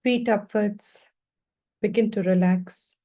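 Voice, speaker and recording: A woman guiding a meditation as a teacher, speaking gently and without hurry in short, spaced phrases. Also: mid-range voice, fairly even intonation. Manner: gentle, unhurried, pausing between short phrases. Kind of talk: guided meditation instruction.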